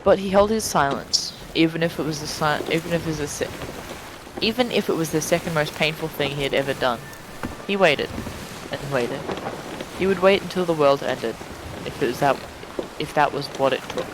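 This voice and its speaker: Higher-voiced man reading fiction over watery game noise. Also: moderate pace. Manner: stumbles over words and swears offhandedly. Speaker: streamer reading a story aloud. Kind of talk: dramatic reading of fan fiction mixed with casual chat.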